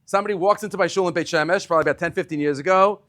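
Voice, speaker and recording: A middle-aged man, a rabbi giving an animated yeshiva talk, acting out dialogue and mixing Hebrew terms into English. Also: rapid-fire, slight background noise. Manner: animated, acts out dialogue with big pitch swings. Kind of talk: animated Torah lecture to students. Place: yeshiva classroom.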